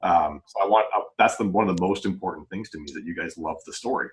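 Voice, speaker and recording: A man's voice: low voice, fast talker. A man chatting casually as a podcast host and publisher, earnest and rambling in unscripted talk. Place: remote group video call.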